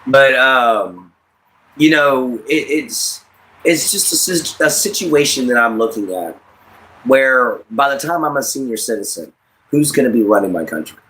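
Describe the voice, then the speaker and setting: A man's voice: mid-range voice, lively intonation, unhurried, bright, forceful tone, poor audio. An American man in his late thirties hosting a casual livestream, giving rambling, repetitive opinions and addressing listeners directly. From United States. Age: late 30s. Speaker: livestream host.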